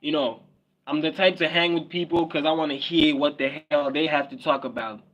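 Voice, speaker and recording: A man's voice: on the high side, fairly even intonation, fairly quick, a bit bright, lo-fi sound. South African man musing on a livestream. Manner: musing, repeats phrases, mixes in Zulu words. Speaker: livestream host. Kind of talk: casual livestream monologue.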